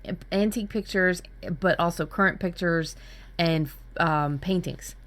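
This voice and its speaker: A woman's voice: lowish voice, measured pace, a bit bright. A woman co-hosting a workshop in casual, informal chat with a blunt way of talking. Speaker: workshop co-host.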